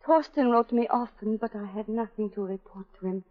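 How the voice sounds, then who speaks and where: An actress playing a guarded, troubled governess in a clipped, dramatic 1940s radio style. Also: female voice, mid-range voice, a little fast, close to an everyday voice. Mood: reflective. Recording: dull 1940s radio broadcast, music behind.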